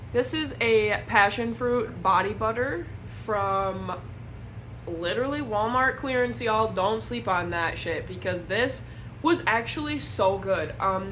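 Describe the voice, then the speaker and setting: A young woman from Wisconsin, slightly hoarse from a cold, chatting to camera at home in a rambling, self-deprecating way as a beauty vlogger. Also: medium pitch, a bit bright, a little hiss or hum, poor audio. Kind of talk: casual chat to camera. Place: at home indoors.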